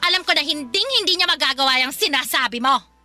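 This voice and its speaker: A woman's voice: high-pitched, lively intonation, fast, bright, forceful tone, soft background music, exaggerated voice. A woman playing a stubborn, lovestruck middle-aged mother in a radio drama, defensive and dramatic. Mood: defensive, smitten. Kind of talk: Filipino radio drama acting.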